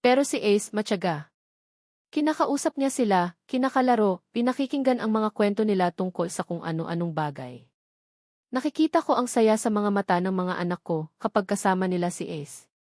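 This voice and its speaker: Female storyteller, medium pitch, scripted first-person romantic drama narration, dry recording. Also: animated, normal speed, a little mellow, dry recording.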